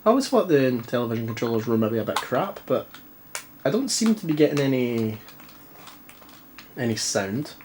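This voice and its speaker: A man's voice: medium pitch, very animated, normal speed, pauses often, darkish tone, slight background noise. A Scottish man with a stuffy, congested voice, chatting casually and mocking himself while testing an old console in a dim living room. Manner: chatty, self-mocking, rambling. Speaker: retro game vlogger. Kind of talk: casual chat.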